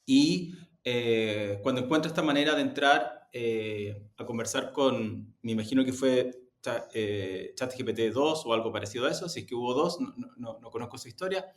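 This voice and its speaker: A man's voice: medium pitch, normal speed, few pauses. A man with a Chilean accent giving reflective interview answers, hesitating as he searches for words. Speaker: interview guest, audio production executive. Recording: roomy, echoey sound.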